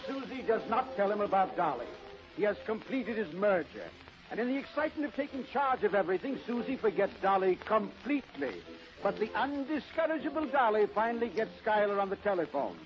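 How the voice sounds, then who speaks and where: A man narrating a radio drama in a scripted 1930s storytelling style. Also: high-pitched. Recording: muffled old 1930s radio recording.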